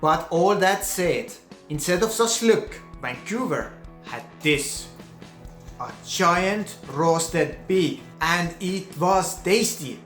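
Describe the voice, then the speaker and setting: A man with an Estonian accent, a native Estonian speaker, narrating his own vlog in earnest, slightly halting English. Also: sits high, lively intonation, a bit bright, reverberant, faint music behind. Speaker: vlogger.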